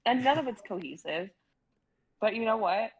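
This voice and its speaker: A woman's voice: mid-range voice, expressive, moderate pace, slightly rough, rough recording. A young woman chatting playfully on a live stream, giggling and joking often. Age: young adult. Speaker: stream co-host and reader. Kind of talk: casual group chat.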